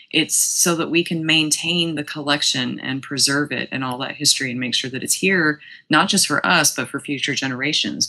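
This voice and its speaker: A woman's voice: low voice, pushed and bright. A woman speaking casually and effusively as an interview guest, with plenty of enthusiasm for her work. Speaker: guest, museum publicity director.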